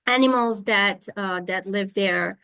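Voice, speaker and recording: A woman's voice: mid-range voice, measured pace, creaky, fairly bright tone, clean tone, no echo. Hesitant woman lecturing over a video call. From Serbia. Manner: hesitant, many fillers and restarts. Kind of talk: online scientific lecture. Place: home in Thailand during monsoon rain.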